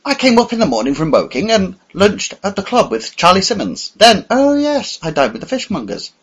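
A man voicing a bewildered, polite elderly English gentleman who hesitates and stammers, his voice climbing high. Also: huge pitch swings, fairly quick, breathy edge, full character voice. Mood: flustered.

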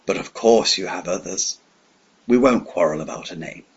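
A man voicing a smooth, menacing old gentleman villain who speaks quietly and with assurance, on a dull lo-fi recording. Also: low-pitched, normal speed, heavily acted. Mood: smiling.

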